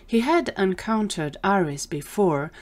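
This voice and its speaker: A woman's voice: medium pitch, expressive, unhurried, darkish tone. A woman narrating scripted audio fiction. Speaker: narrator and performer.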